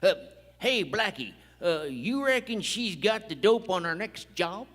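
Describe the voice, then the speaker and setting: A man's voice: sits high, expressive, measured pace, a bit bright, full character voice. A man playing a jumpy outlaw henchman with folksy American cowboy talk in a live radio play. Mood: brash, warning. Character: jumpy, high-voiced male outlaw henchman Bart. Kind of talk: Western radio play acting. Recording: live stage hall, long reverb.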